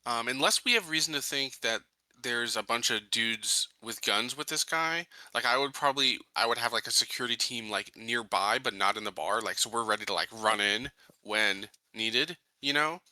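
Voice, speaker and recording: Man, very bright forceful voice, dry sound, halting casual talk, tabletop player. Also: mid-range voice, no room sound. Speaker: tabletop roleplaying game player. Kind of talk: casual game discussion.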